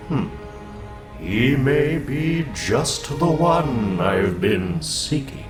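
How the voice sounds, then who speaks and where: Grand menacing supervillain, low voice, slow theatrical talk, music behind him. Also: male voice, heavily acted. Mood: intrigued. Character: menacing, grandiose male supervillain plotting revenge. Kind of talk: comic book dramatic reading. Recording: echoing voice over clear background music.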